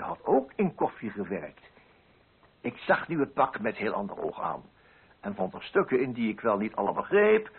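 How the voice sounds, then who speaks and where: An actor playing a pompous, prudish middle-aged coffee broker with a Netherlands Dutch accent in a radio play monologue. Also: male voice, lowish voice, full character voice. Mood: self-important, pleased. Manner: pompous, fussy, self-righteous. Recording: husky, narrow-band old radio recording.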